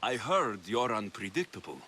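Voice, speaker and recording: A young male mercenary and pleading brother in a video game drama. He is earnest and insistent, with a bright, forceful tone and lively intonation. Faint hiss lies behind the voice. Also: fairly low pitch, normal speed. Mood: grave explaining.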